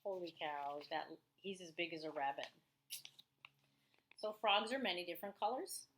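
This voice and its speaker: A woman, an elementary teacher reading aloud to children with expressive, chatty asides. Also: fairly low pitch, moderate pace, slight background noise. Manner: expressive, chatty, exclaims to young listeners. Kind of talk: read-aloud lesson for children with casual asides.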